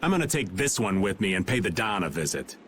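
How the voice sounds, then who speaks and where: A man's voice: low-pitched, big pitch swings, quick pace, a bit bright, faint music behind. A man playing a roguish, easygoing middle-aged guild veteran in a video game, with a teasing, folksy manner. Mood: breezy, wry. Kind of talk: animated video game dubbing.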